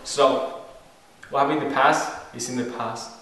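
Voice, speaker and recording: A man's voice: medium pitch, steady tone, moderate pace, fairly bright tone, natural voice. A young man playing an angry young nobleman bent on revenge in an amateur home-video drama, recorded far off in an echoey basement. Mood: cold. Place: large basement room indoors.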